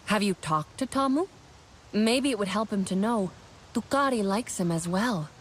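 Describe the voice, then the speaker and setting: A woman playing an earnest, friendly young alien heroine in a video game, speaking in a sincere, gentle way. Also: mid-range voice, animated, moderate pace, slight background noise, close to an everyday voice. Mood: helpful. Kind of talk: video game dialogue acting.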